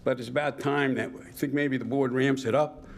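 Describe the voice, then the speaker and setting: Gravelly man giving pointed public comment. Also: medium pitch, fairly even intonation. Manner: pointed, critical, measured. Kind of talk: public comment at a board meeting.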